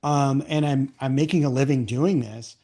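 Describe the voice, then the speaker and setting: Medium-pitched man hosting an interview. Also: moderate pace, dark tone. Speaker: podcast host. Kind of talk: conversational interview.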